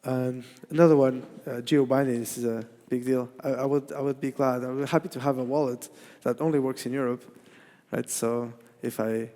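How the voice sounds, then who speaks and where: A man with a Portuguese accent, a native Portuguese speaker, gives a technical conference talk in a halting way, with many restarts and fillers. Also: medium pitch, steady tone, mellow. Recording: reverberant hall.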